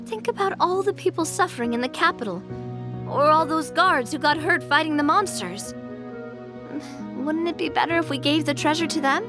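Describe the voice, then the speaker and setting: A woman playing a spirited young female adventurer in an anime game, expressive and earnest. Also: sits high, normal speed, lightly acted. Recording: music behind the voice.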